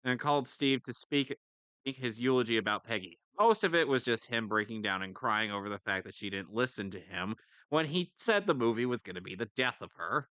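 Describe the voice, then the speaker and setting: Bright, crisp, animated man, close and dry. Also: mid-range voice, a little fast, no echo. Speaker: co-reader on a livestream. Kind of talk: comedic dramatic reading with casual banter.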